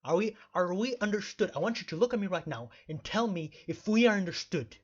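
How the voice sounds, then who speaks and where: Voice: mid-range voice, animated, fairly quick, husky, muffled recording. A game streamer giving rambling let's play commentary in an emphatic, mock-stern way, often repeating phrases. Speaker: video game streamer and host.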